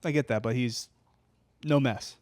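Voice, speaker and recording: A man's voice: medium pitch, a little slow, darkish tone, no room sound. A man co-hosting a casual comedic podcast, blunt and crude with opinionated jokes. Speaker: podcast co-host reacting to the story.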